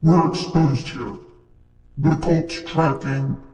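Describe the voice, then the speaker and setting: Man acting a grim, slow-talking cyborg. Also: fairly even intonation, darkish tone, rough recording. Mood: grim warning. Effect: pitch-shifted cyborg voice processing. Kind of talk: dramatic game-style character lines.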